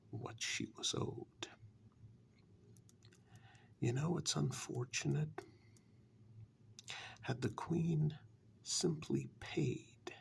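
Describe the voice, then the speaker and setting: A man playing a sly, condescending warlock in a soft-spoken ASMR dark fairytale role-play, patronising and deliberate, with long pauses. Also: medium pitch, full character voice. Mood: reproachful. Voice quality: soft, breathy half-whisper with gravelly creak.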